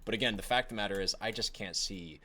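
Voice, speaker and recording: Young guy with a low voice rambling to his viewers, lots of uhs and likes, kinda whiny. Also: quick pace, bright, forceful tone, slight background noise. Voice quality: self-described whiny voice. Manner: rambling, apologetic, many fillers and restarts. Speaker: gaming video creator. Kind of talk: casual talk to camera while playing.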